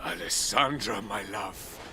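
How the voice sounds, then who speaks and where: A man's voice: lowish voice, expressive, takes it slowly, heavy background noise. An actor playing an obsessed, grieving villain king in a video game, speaking in a theatrical, declamatory manner. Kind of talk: dramatic video game voice acting.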